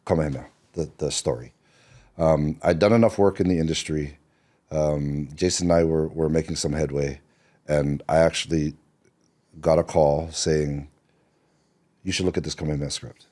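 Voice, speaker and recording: Very deep, mellow-voiced man telling stories. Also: fairly even intonation, moderate pace, long pauses. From Seattle, Washington. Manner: loose and rambling, many fillers and restarts. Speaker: podcast guest, screenwriter. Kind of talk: relaxed interview storytelling.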